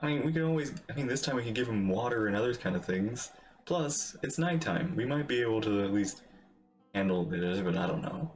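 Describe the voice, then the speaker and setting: A man streams a game and comments casually as it plays. His voice is fairly low and conversational, with soft plucked-string game music behind him. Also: animated, brisk.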